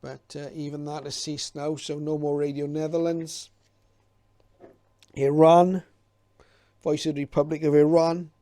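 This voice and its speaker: A man's voice: a little high, little pitch movement, unhurried, pauses often, dark tone, dry recording. A middle-aged man presenting in a casual, unscripted way, with a hesitant, reflective and informative manner, as a hobbyist leafing through material.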